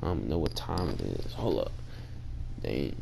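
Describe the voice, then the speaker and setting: A tired young man with a gravelly voice, chatting casually to his viewers in laid-back slang while commenting on his gameplay. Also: rumbling low, very animated, a little slow, background noise. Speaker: gaming channel host. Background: game music.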